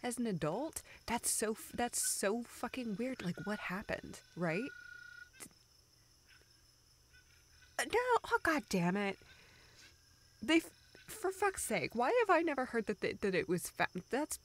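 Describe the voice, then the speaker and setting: A young woman playing a self-deprecating, vulnerable friend in an intimate audio roleplay, speaking in halting, self-interrupting dialogue. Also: a little high, very animated, a little hiss or hum. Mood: animated, exasperated. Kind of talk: intimate, naturalistic one-sided dialogue.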